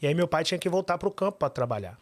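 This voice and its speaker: A man, sitting as a podcast guest and telling his story. He talks fast and keeps driving his points home by repeating them. His voice sits a bit higher than most men's. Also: no echo. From Minas Gerais, Brazil. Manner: fast, animated storytelling with emphatic repetitions.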